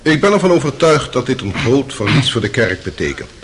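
An actor playing a stern public prosecutor in a radio drama, formal, sarcastic and insinuating. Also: male voice, mid-range voice, big pitch swings, a little fast, crowd noise, lightly acted. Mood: smooth, insinuating.

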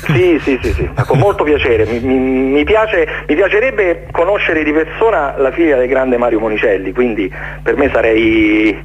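Halting man, dull noisy radio sound. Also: medium pitch. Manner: halting, searching for words, repeating himself. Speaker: interview guest, jazz musician presenting his concert series. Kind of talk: informal radio interview.

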